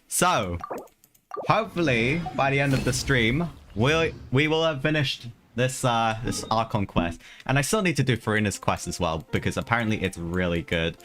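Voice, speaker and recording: Low-voiced man chatting on a game livestream. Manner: chatty, repeats phrases, addresses viewers as lads. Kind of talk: casual live gameplay chat with viewers. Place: voice over live video game play, game music behind.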